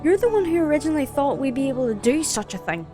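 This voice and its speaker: A woman's voice: high-pitched, big pitch swings, quick pace, over music. A young woman playing a headstrong, determined college student in an amateur audio drama. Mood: defiant.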